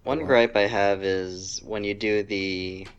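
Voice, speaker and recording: A man with a deep voice chats casually as a podcast co-host. He talks about video games informally, with a griping, conversational delivery. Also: fairly even intonation, takes it slowly, smooth, dull audio.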